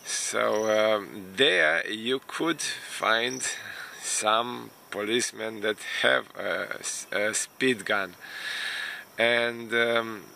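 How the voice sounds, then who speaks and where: Native Romanian speaker, male, slow and halting vlog delivery, gravelly low voice, faint background noise. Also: sharp tone. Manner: unhurried, hesitant, many fillers. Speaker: immigration consultant vlogger. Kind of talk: casual talk to camera.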